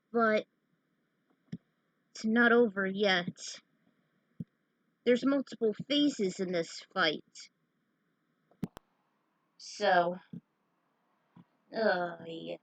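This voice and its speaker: A woman with a fairly high voice narrates a fan story as she plays a game. She moves haltingly between reading aloud and chatty remarks, recorded dry and close. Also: fairly bright tone, no echo, rough recording. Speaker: fan fiction reader and game player. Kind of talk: narrating fan fiction over gameplay, with casual asides.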